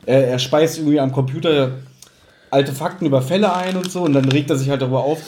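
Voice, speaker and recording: A man's voice: on the high side, fairly even intonation, fast, a little mellow, a little hiss or hum. A man in his thirties co-hosting a podcast, casually discussing an audio drama and acting out quotes as he retells. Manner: acts out quotes while retelling. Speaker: podcast co-host.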